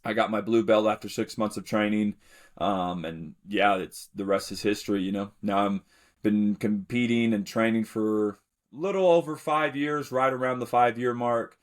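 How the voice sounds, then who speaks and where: A young man from the talks with an easy, rambling flow. He often stops to restart a thought and fills the gaps with "like" and "um." His voice sits a little low and unhurried. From Alabama, United States. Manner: relaxed, rambling, many fillers and restarts. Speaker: podcast guest. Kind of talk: casual interview storytelling.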